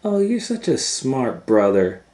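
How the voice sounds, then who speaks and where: A man voicing a frightened, complaining little girl in a storybook fairy tale, with loud exclamations. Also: medium pitch, wildly swooping pitch, measured pace, pitched above the performer's own voice. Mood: admiring. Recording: roomy echo, faint background noise.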